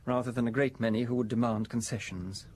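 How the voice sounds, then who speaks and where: A man playing a fastidious, husky suspect. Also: medium pitch, fairly even intonation, a little mellow, natural voice. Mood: composed. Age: 30s. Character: fastidious, composed male decorator suspect in his thirties. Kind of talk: radio drama acting.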